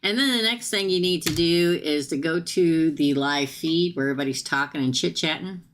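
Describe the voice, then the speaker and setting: A woman giving a chatty live-stream tarot reading, talking straight to her viewers, tired, with her voice starting to go hoarse. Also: sits low, normal speed. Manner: chatty, addresses viewers directly. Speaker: tarot reader.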